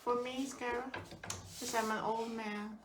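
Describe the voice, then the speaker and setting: A woman's voice: a little high, flat delivery, measured pace, distant mic, lightly acted. A woman playing a needy, silly old male potato puppet in an improvised puppet show, exclaiming, hooting and drawing out words plaintively. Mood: sleepy. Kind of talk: improvised puppet play with a small child. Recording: echoey, noisy home recording from afar.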